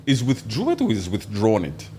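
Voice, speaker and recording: A man from Congo whose first language is Swahili, talking politics in an animated, joking way. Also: fairly low pitch, normal speed, slight background noise. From Democratic Republic of Congo. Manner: animated, joking, bursts of loud exclamations. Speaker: show host. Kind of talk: casual political chat.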